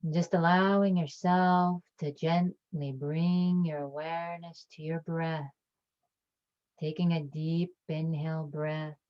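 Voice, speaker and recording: A woman with a low voice leads a guided meditation in a calm, soothing way. Her delivery is very slow and level, with gentle phrases set apart by long pauses. The recording sounds a little dull.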